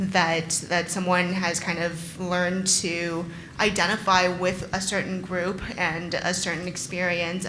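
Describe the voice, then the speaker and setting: A woman's voice: fairly low pitch, a bit bright, a little hiss or hum, rough recording. A woman neurologist explaining things to patients at a live panel, thoughtful and hesitant, with many ums. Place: event venue in front of an audience.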